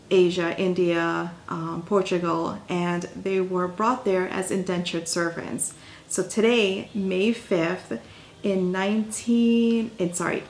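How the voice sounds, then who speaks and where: A woman's voice: lowish voice, creaky, a little hiss or hum. A woman from Guyana hosting her own channel, talking to viewers in a casual, upbeat, chatty way with small fillers. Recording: echoey room.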